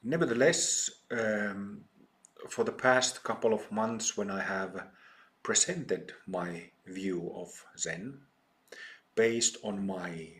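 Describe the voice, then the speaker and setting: A middle-aged man with a Finnish accent, a meditation teacher giving a talk at a retreat, speaking haltingly with many pauses and fillers. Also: fairly low pitch, fairly bright tone. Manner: slow, hesitant, many ums and pauses.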